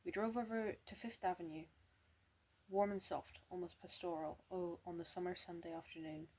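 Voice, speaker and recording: Husky young woman reading with a stammer. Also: on the low side, fairly even intonation, normal speed, darkish tone. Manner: stammers and restarts words, plain reading. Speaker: amateur reader. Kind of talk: reading a novel aloud.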